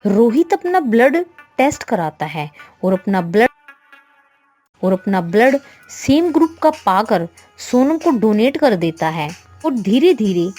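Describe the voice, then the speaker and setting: Animated, high-pitched woman storyteller. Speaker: story narrator. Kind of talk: scripted storybook narration.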